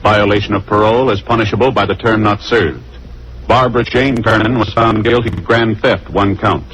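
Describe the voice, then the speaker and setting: A man's voice: lowish voice, steady tone, normal speed, fairly bright tone. A man announcing in a formal, scripted old-time radio style. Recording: faintly noisy vintage radio recording.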